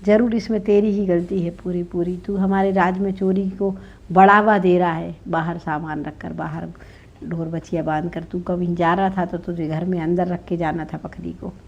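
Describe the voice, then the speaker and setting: A woman's voice: on the low side, steady tone, moderate pace, husky. A woman voicing a foolish, blustering king in a folk tale, scolding and self-righteous. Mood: scolding, indignant. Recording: dull lo-fi recording.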